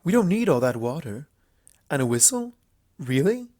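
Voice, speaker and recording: A man's voice: fairly high pitch, very animated, normal speed, mellow, no echo, studio quality. A man voicing a proud, playful female alicorn pony princess in a storybook reading, articulate and haughty. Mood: questioning. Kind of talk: storybook fan fiction character voice.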